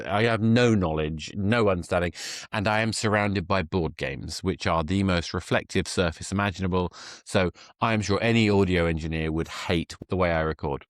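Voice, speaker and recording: Deep-voiced man hosting, dry sound. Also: no room sound. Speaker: podcast host. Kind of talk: conversational interview. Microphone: close dynamic microphone.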